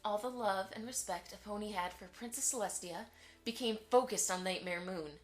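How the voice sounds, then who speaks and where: A woman's voice: mid-range voice, moderate pace, soft background music, close to an everyday voice. A woman playing a formal, courteous and pointedly inquisitive pony publisher in a fan audio drama. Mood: probing, insinuating. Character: polite, prying pony publisher, a probing female socialite.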